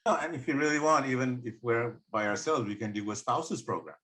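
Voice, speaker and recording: Guy in his 50s, medium pitch, casually pitching ideas on a group call. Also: expressive, fairly quick, sharp tone. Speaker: participant proposing travel plans on group call. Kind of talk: casual discussion.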